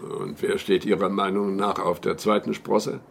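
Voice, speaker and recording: Wry secretive insider, crime radio drama, deep-set creaky voice, ironic, hesitant drawl, some background noise. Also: male voice, level tone, moderate pace. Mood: shrewd, confiding. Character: wry, self-satisfied male insider, shrewd secretive informant.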